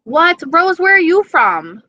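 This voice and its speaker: A woman's voice: extremely high pitch, sing-song, slow pace, bright, forceful tone, dry recording, lo-fi sound. A young woman with an East Coast accent chatting casually on a live stream, reading viewer comments and swearing freely. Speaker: beauty vlogger.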